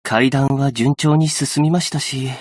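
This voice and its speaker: An actor playing a gentle, patient, earnest young male aid worker in a game, speaking politely and softly in measured, formal phrases. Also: medium pitch, fairly even intonation, dry recording, clean studio sound, lightly acted. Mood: subdued, reflective. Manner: polite, soft-spoken, measured formal speech. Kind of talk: scripted game character voice lines.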